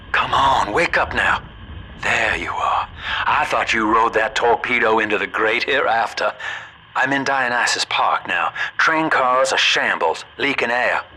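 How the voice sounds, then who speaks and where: A man's voice: mid-range voice, bright, forceful tone, a little hiss or hum, poor audio. An actor playing a folksy, wry middle-aged American man with a drawl, talking colloquially over a radio. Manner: drawling, colloquial, old-fashioned slang.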